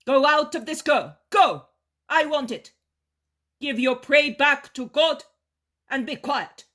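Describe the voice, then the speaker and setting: A woman voicing a calm, grave male master who speaks with quiet authority. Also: on the low side, moderate pace, breathy edge. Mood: commanding.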